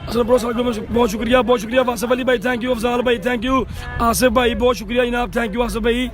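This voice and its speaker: A man's voice: extremely high pitch, fairly even intonation, fast, music in the background, crowd noise. A man doing live cricket commentary outdoors, who keeps thanking viewers by name and asking them to share the stream.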